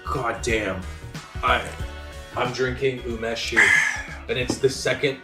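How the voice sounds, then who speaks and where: A man's voice: medium pitch, little pitch movement, slow, echoey room, over loud music. A laid-back man in casual group chat who swears often. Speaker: streamer.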